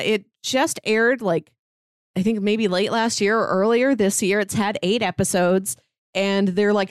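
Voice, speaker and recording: A woman's voice: mid-range voice, no room sound. Woman chatting casually, close dry sound. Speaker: podcast co-host. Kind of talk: casual review chat.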